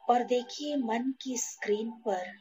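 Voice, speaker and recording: A woman from India guiding a meditation, in short, measured phrases with pauses between them. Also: a little high, mellow, low-quality recording. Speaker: guided meditation teacher. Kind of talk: slow guided meditation. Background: background music.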